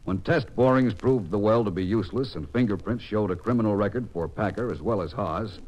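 An actor playing a sober, official narrator of an old-time radio crime drama, speaking formally with an American accent. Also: male voice, on the low side, brisk, a little mellow, lightly acted. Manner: formal, authoritative. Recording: dull vintage radio recording.

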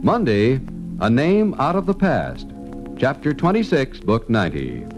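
A man's voice: mid-range voice, wildly swooping pitch, a little slow. A man with an American accent announcing and narrating an old-time radio serial from a script, over organ music.

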